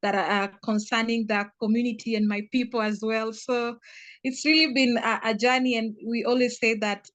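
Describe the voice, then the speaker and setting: A young adult woman from Kenya with a Kenyan accent, a guest telling her life story with many pauses and restarts. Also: on the high side, flat delivery, fairly bright tone, no room sound. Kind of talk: casual interview answers.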